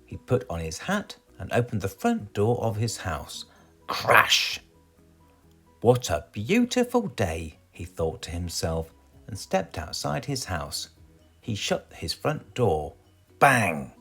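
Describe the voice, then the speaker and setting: A man's voice: low voice, sing-song, takes it slowly, bright, forceful tone, husky, faint music behind. A man with a British accent reads a children's storybook aloud dramatically, putting questions to his young listeners. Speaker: storybook reader.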